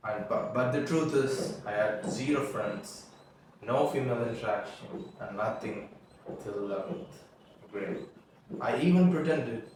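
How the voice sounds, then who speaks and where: A young man whose first language is Tamil, telling a halting, earnest confessional story and often restarting his words. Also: medium pitch, background noise. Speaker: vlogger. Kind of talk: confessional monologue. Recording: echoey room, mic at a distance.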